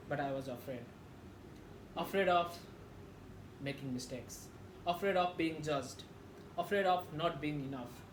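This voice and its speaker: A man from Nepal with a Nepali accent delivers a rehearsed motivational speech in an earnest, emphatic way with deliberate dramatic pauses. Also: on the high side. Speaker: public speaking practice presenter. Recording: echoey room with background noise.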